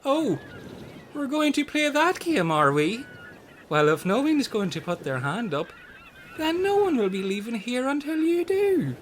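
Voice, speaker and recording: Aggressive, foul-mouthed island robber female actor, high voice with big swings, blunt radio comedy, slight noise. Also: sing-song, a little slow. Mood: flustered, blustering. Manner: blunt, sweary. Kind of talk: comedic radio play acting.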